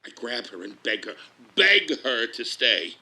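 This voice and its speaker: A man's voice: mid-range voice, big pitch swings, a little slow, sharp tone, exaggerated voice. A man playing a brash, grandiose exploitation-film producer, boastful and hammy like a carnival barker. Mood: pleading, boastful. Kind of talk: 1950s showman's pitch and memoir-style storytelling.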